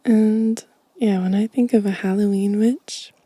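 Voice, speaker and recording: Female ASMR host, very soft and mellow, whispering gently in a breathy, slow delivery. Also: mid-range voice, fairly even intonation, no echo. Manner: gentle, unhurried. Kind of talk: soft-spoken, whispered ASMR show and tell.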